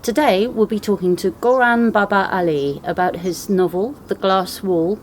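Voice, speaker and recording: A woman's voice: mid-range voice, moderate pace, a little hiss or hum. A woman reading a scripted introduction as the host of a podcast.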